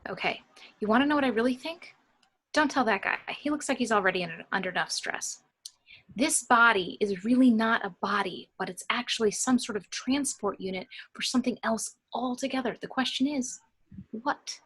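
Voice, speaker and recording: A woman's voice: fairly high pitch, normal speed, fairly bright tone, breathy edge. A woman playing a confident, flirtatious morgue doctor in an informal script read, wry and matter-of-fact. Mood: flirty, confiding.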